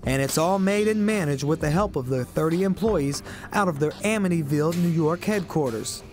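A young man, a news correspondent, reading a scripted report in a crisp, polished delivery. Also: fairly high pitch, normal speed, music in the background.

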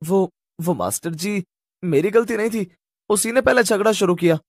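A man's voice: high-pitched, normal speed, no room sound, clean studio sound, voice pushed up. A man voicing a cocky, hot-tempered young village boy who talks in a brash, boastful way in a cartoon story. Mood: nervous pleading.

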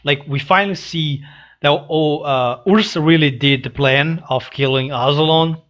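A young man who is not a native English speaker, giving casual reaction commentary in a halting, hesitant way into a headset mic. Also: on the high side, fairly bright tone. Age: young adult. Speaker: reaction channel host. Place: small room indoors. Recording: dull lo-fi headset audio.

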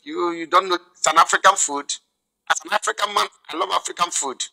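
Cheery nigerian guy in his 30s on a video call, high bright voice, says everything twice. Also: fairly quick, gravelly. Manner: repeats each phrase, cheerful and friendly. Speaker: video call chat partner. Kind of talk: casual video call chat. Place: indoors at home.

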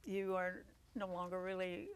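Older woman, talk show host, animated, leading questions, lapel mic, studio. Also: fairly low pitch, normal speed, clean tone. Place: TV studio set with living room decor.

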